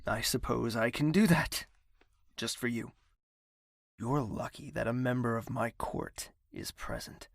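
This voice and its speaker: A man playing a brooding, menacing young prince in an audio drama, speaking in a measured, gravelly, husky voice. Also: mid-range voice, dry recording, clean studio sound. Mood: cold menace. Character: brooding, menacing young prince, tender toward his mother.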